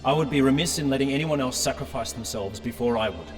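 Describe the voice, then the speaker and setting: An actor playing a brave, gentle tin man in a fantasy audio drama, earnest and a little hesitant. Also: medium pitch, fast talker, lightly acted. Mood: brave but anxious. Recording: hall reverb, music underneath.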